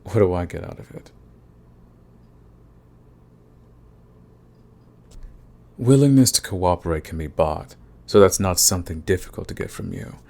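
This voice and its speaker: A man's voice: deep voice, expressive, brisk, vocal fry, dark tone. A man playing a smug, flirtatious villain who is fond of the enemy, in an intimate ASMR romance roleplay with taunting, drawling menace. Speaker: audio roleplay voice actor.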